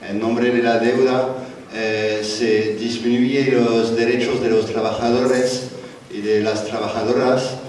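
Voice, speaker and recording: A man's voice: mid-range voice, little pitch movement, slow pace, a little mellow, a little hiss or hum. A man with a French accent speaking Spanish at a conference panel, hesitant, with many fillers and restarts. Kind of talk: conference talk. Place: large conference hall. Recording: echoey hall, distant mic.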